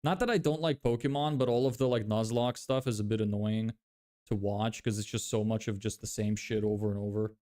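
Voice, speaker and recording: A man's voice: on the low side, fairly even intonation, a little fast, warm tone. A young man whose first language is Lithuanian, chatting casually on a livestream as he reads and answers chat, rambling with many fillers and restarts.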